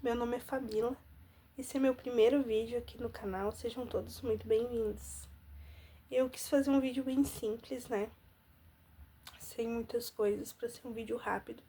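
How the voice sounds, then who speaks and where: Woman, thirties, slightly high voice, congested and nasal, earnest personal vlog delivery. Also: steady tone, moderate pace, warm tone, slight background noise. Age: 30s. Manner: earnest, with frequent "né?" and restarts. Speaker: vlogger.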